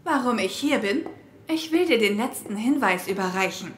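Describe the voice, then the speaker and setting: A woman's voice: mid-range voice, expressive, normal speed, close to an everyday voice. A woman playing a vengeful girlfriend in a horror audio drama, taunting and gloating with false sweetness. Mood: accusing. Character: vengeful young girlfriend, mockingly sweet and cruel. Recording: echoey room, faint background noise.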